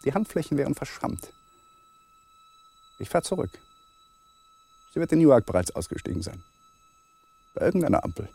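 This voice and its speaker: An actor playing an anxious husband, speaking a fragmented inner monologue in a raspy, gravelly voice in a radio play. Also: male voice, fairly high pitch, huge pitch swings, normal speed, long pauses, warm tone, exaggerated voice. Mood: uneasy.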